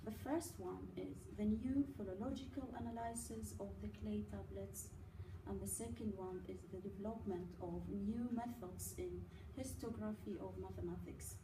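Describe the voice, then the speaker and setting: A woman from Iraq giving a prepared academic presentation, halting often with fillers as she reads. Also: medium pitch. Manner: halting, many uh fillers, careful delivery. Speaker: student presenter. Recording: echoey room from a distance with noise.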